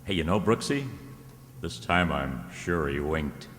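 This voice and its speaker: Man playing deep-voiced, breezy radio detective George Valentine. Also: animated, measured pace, fairly bright tone, heavily acted. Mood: breezy, teasing. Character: George Valentine, easygoing wry male freelance detective. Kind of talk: 1940s radio detective drama acting. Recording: echoey hall with noticeable noise.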